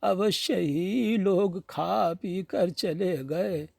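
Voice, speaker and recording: A man's voice: high voice, very slow, vocal fry, darkish tone, no room sound, studio quality, pitched above the performer's own voice, exaggerated voice. A man voicing a frail old village woman, hungry and brooding, muttering plaintively to herself in a storybook reading. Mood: anxious waiting.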